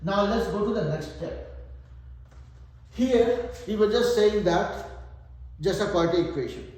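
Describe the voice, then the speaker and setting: Man, Indian accent, math tutor, fairly high voice, very echoey room. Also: a little slow, darkish tone. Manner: explains step by step, thinks aloud while working. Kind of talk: whiteboard math lesson.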